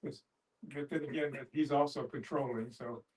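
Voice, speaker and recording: A man casually sharing in a group discussion, halting as he searches for his words. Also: mid-range voice, steady tone, a little mellow, roomy sound. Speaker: audience participant. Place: live group gathering in a room. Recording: distant, off-mic pickup.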